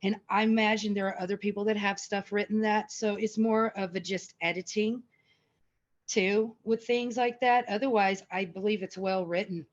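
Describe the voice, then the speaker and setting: A woman's voice: medium pitch, fairly even intonation, moderate pace. Woman on dull, lo-fi call audio. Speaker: table read participant and writer. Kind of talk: casual, reflective feedback.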